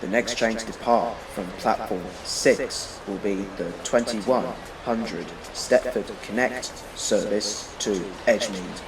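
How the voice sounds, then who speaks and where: A man reading scripted train announcements as a railway station announcer, in measured, list-like phrasing. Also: lowish voice. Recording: echoey, noisy, distant station PA sound.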